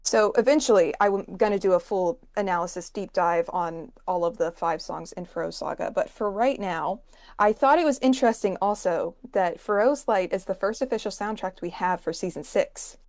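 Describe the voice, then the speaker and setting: Female music expert guest, mid pitch, keen and conversational, recorded close and dry. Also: moderate pace, a little mellow, no echo. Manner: enthusiastic. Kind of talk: casual explanatory music analysis.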